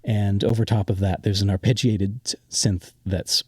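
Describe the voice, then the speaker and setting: A man's voice: fairly low pitch, little pitch movement, a little slow, breathy edge, no room sound. A man explaining his music in an interview as a composer, thoughtful and searching for words. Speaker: interviewed audio drama composer. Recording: loud synthesizer music behind the voice. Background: loud synthesizer music.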